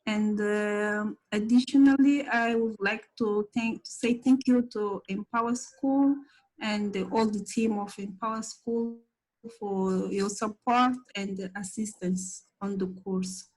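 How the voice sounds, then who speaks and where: Woman from Mozambique with a Portuguese accent; slow, halting graduation thanks. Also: medium pitch, fairly even intonation, darkish tone, low-quality recording. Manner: slow, halting, with many fillers. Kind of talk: graduation thank-you speech.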